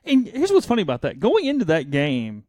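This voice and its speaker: A man's voice: a little high, wildly swooping pitch, fairly quick, mellow, no echo, studio quality. A man talking casually as co-host of a sports podcast.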